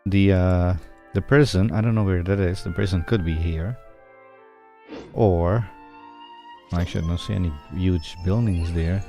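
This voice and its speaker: Low-voiced man chatting over gameplay. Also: steady tone, measured pace, dark tone, faint music behind. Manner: thinks aloud, rambling. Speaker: game streamer. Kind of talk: casual gameplay commentary.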